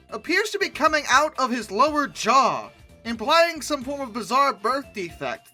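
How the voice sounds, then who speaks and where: A young woman hosting a comedic commentary, sarcastic and exasperated. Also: a little high. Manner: sarcastic, exasperated, lively outbursts. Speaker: YouTube host.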